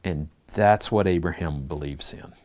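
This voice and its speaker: A man's voice: deep voice, unhurried, darkish tone. A man teaching a church Bible class, reading scripture aloud and putting earnest questions to his listeners.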